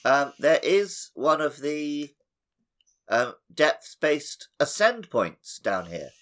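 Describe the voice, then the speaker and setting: A man's voice: fairly high pitch, sing-song, slow pace, fairly bright tone. A man co-hosting a podcast, talking casually about a video game. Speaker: podcast co-host.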